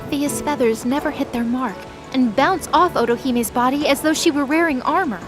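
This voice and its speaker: High-pitched woman narrating a fantasy drama. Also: normal speed, over music. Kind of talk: scripted dramatic story narration.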